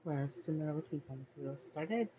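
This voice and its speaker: A woman giving casual commentary on her own gameplay in a halting, reflective way. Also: low voice, heavy background noise. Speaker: gamer narrating own gameplay. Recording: loud game music behind voice. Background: video game music.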